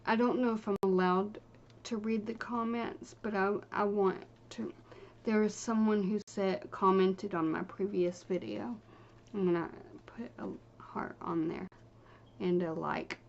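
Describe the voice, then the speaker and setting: A woman vlogger talking casually and haltingly as she reads through viewer comments, trailing off between short phrases. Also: medium pitch, steady tone, vocal fry, darkish tone, highs cut off. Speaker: video creator.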